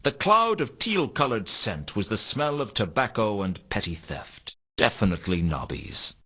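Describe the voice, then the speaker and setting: An actor playing a weary, wry werewolf private detective, narrating a noir game's inner monologue in a deadpan, brooding way. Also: male voice, on the low side, moderate pace, slightly rough. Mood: deadpan, observing. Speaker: narrator and protagonist of a detective adventure game. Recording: dull, compressed 1990s game audio.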